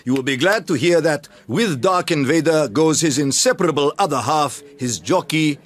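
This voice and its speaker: An actor playing a proud, elated racehorse owner giving a declamatory, deliberate speech in a radio drama. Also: male voice, a little high, animated, lightly acted. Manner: declamatory, deliberate, with emphatic pauses. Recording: clearly noisy recording.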